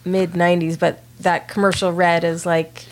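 A woman co-hosting a podcast in casual chat, her voice a little raspy from allergies. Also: lowish voice, little pitch movement, moderate pace. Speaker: podcast co-host.

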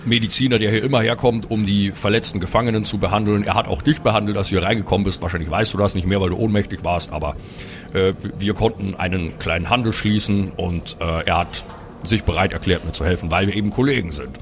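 Guy as earnest polite cleric, lowish voice, hesitant and soothing, slight background noise. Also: fairly even intonation, fast, lightly acted. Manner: hesitant, conciliatory, explaining.

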